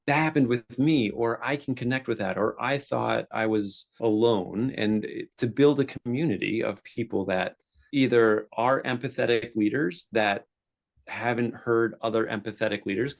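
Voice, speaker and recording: A man's voice: on the low side, vocal fry. A man hosting a podcast, speaking earnestly and encouragingly in a casual interview. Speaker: podcast host.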